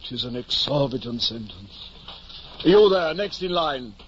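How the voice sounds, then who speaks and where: A man's voice: medium pitch, expressive, measured pace, a little hiss or hum, close to an everyday voice. Pompous knight-errant played by a man, old muffled radio. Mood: earnest. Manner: pompous, florid, archaic oratory. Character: deluded, chivalrous, grandiose knight-errant near fifty. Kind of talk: 1950s radio drama acting.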